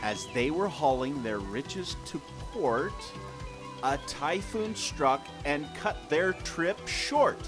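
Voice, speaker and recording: Guy playing keen clever adventurer in a radio adventure, slightly high voice, soft music behind. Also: lively intonation, takes it slowly. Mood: eager. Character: eager male clue-hunting adventurer, clever and confident. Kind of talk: scripted audio adventure acting.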